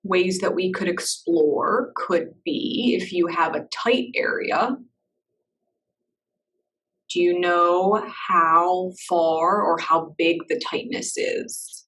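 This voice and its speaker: Meditation guide, woman, lowish voice, soft and unhurried, recorded a little off-mic. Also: recorded from a distance, poor audio. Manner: soft, slow and reassuring, with gentle pauses.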